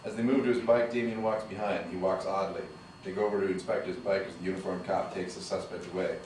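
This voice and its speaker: A man reading the stage directions aloud at a screenplay table read, brisk and matter-of-fact. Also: sits low, fairly even intonation, darkish tone. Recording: echoey room, distant mic, background noise.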